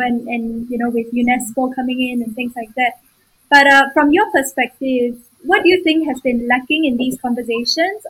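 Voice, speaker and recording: Woman host, high and breathy, hesitant interviewer. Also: a bit bright, poor audio. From Singapore. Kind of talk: interview questions.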